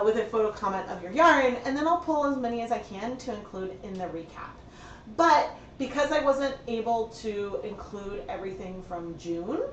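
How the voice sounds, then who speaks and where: Woman a bit hoarse, chatty livestream host, lots of likes and ums, mic kinda far off in an echoey room. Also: medium pitch, slight background noise. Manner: chatty, thinks aloud, many fillers. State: slightly hoarse. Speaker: livestream host dyeing yarn. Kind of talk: casual livestream tutorial chat. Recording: roomy sound from a distant mic.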